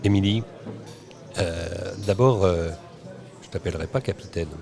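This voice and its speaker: A man hosting a roundtable podcast, speaking haltingly with pauses mid-sentence. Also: deep voice. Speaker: podcast host. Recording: busy crowd chatter behind. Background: crowd chatter.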